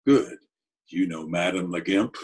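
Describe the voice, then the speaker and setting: An actor playing a gruff, commanding gangster boss, blunt and bossy, in old-time radio comedy style. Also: male voice, low voice, darkish tone. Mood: stern. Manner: blunt, bossy, deliberate slangy talk.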